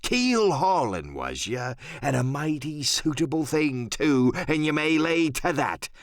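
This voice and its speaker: A man's voice: fairly high pitch, very animated, a little slow, no room sound, clean studio sound, pitched above the performer's own voice. A man playing a big, commanding one-legged pirate landlord in an audiobook, cunning and cheerful, with drawling, blustering sailor slang. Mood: stern, mocking.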